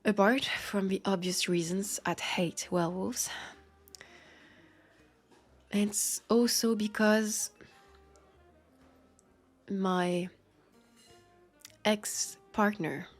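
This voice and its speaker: A woman with a French accent playing a wary, grieving, centuries-old vampire detective in an intimate audio roleplay, speaking softly and pensively with pauses. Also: lowish voice, full character voice. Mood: grieving, hesitant. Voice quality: breathy, soft. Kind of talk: intimate scripted audio roleplay, addressed to listener. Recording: music under the voice. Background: music.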